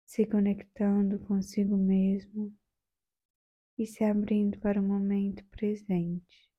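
A woman with a Brazilian accent leading a guided meditation in a soft, soothing, gentle way, leaving long pauses. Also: mid-range voice, little pitch movement, muffled recording.

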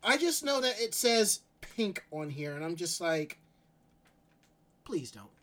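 A man's voice: sits high, slow, a little hiss or hum. A man with a New York accent hosting a casual live stream chat, telling stories and acting out his own reactions. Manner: animated, quotes himself in asides. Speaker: stream host.

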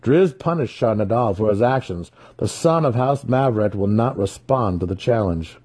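Man doing an eager, high-strung dark elf warrior. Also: animated, normal speed, husky. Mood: emphatic. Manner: exclaiming, emphatic.

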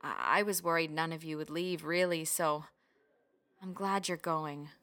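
Spacey middle-aged mom, radio play, slow halting talk, bright tense voice. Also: female voice, deep voice. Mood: distracted, flat. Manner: hesitant, vague. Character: flighty, distracted mother of grown children.

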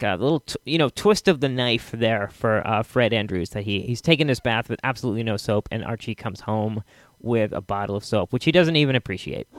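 A man hosting a podcast in casual, halting solo chat, repeating himself for emphasis. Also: mid-range voice, no echo. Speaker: podcast host.

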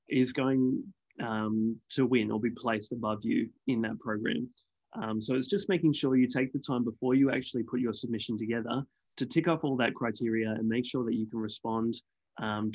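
A man explaining the award submission process on a webinar in a measured way, often pausing on ums. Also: medium pitch, darkish tone, muffled recording. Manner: measured, many ums and restarts. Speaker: awards consultant guest.

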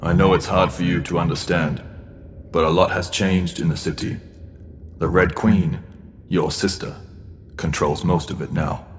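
A grave, cryptic mentor in sci-fi audio fiction, with a very low voice. He admonishes sternly and deliberately, ringing in a long reverb. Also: flat delivery. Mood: grave, urging. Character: stern, cryptic mentor figure guarding a teenage boy. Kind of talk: audio drama acting. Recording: long hall reverb, clear background noise.

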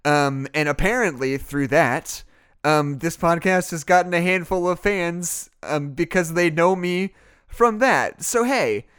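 A man's voice: fairly high pitch, unhurried, fairly bright tone, clear, resonant tone. A young man hosting a podcast in a casual chat, rambling and joking at his own expense. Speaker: podcast host.

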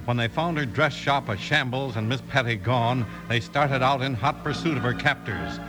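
A man's voice: medium pitch, moderate pace, over music, poor audio. A man with an American accent announcing and narrating in the scripted style of 1950s radio.

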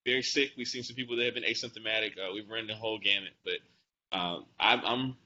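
A man's voice: fairly low pitch, a little fast, sharp tone. A man in his forties from the American South, a doctor answering interview questions conversationally. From Atlanta, Georgia, United States. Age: 40s. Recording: slightly muffled with faint noise.

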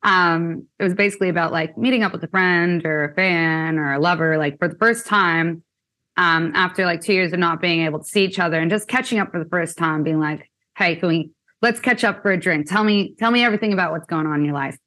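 Casting: young woman from Canada, musician guest, lower voice, rambling casual chat. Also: fairly quick, pushed and bright. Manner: chatty, many fillers like "like" and "um".

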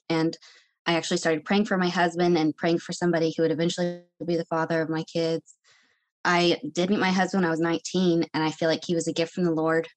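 Guest, woman in her 30s, earnest testimony, lowish voice. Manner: earnest, reflective. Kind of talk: personal testimony.

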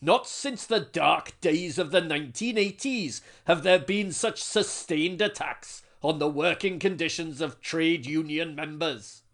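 A man playing a pompous Welsh trade union leader giving a grand, booming speech in a comedy sketch. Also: measured pace, few pauses, fairly bright tone. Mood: rousing. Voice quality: booming, grandiose rally oratory.

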